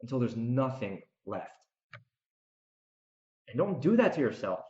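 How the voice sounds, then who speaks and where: Male podcast host, off-the-cuff opinionated rant, emphatic repetition and dramatic pauses, roomy and slightly dull sound. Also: mid-range voice, sing-song, warm tone. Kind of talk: unscripted opinionated podcast monologue.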